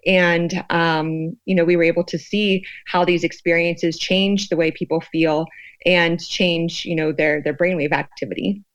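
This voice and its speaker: A woman explaining her research in an interview, in a thoughtful, halting, conversational way. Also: fairly low pitch, fairly even intonation. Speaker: guest, sociologist and fear researcher.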